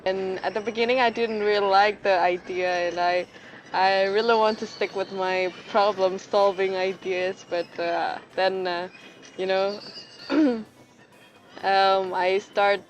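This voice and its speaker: A woman's voice: mid-range voice, steady tone, takes it slowly, slight background noise, rough recording. A young woman from Indonesia, a master's student, speaking hesitantly and thoughtfully. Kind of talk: interview.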